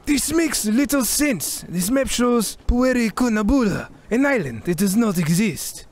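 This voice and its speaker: A man voicing an older male cat-like ship navigator who talks in a slow, amused and knowing way. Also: extremely high pitch, lively intonation, a little mellow, voice pushed up, full character voice.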